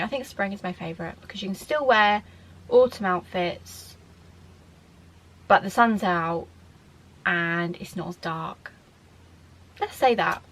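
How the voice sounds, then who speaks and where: A woman's voice: mid-range voice, poor audio. A woman in her twenties from the UK, chatting casually to camera in a Q&A vlog, rambling with lots of fillers and restarts. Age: 20s. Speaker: vlogger.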